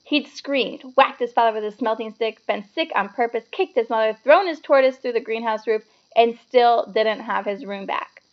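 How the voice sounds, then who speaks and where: A woman narrating a children's novel aloud as an expressive storybook reader. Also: mid-range voice, gravelly, muffled recording.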